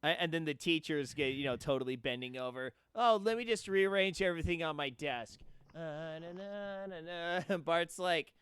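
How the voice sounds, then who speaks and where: A man with a high tenor voice, a game streamer chatting casually and playfully during a live stream. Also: normal speed, few pauses, fairly bright tone, clean tone, no echo. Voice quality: self-described high tenor. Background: video game music.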